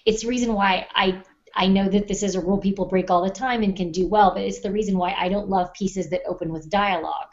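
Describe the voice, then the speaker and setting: A woman's voice: mid-range voice, steady tone, fast talker, few pauses. A woman co-hosting a casual podcast, explaining her points plainly and conversationally. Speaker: podcast co-host. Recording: dull, muffled lo-fi sound.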